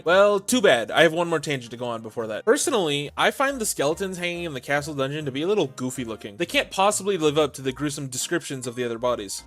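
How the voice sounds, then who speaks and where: Higher-pitched man narrating wryly. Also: fairly bright tone. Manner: wry, theatrical storyteller with conversational asides. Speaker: video host and reviewer. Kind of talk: scripted narration and commentary on a visual novel.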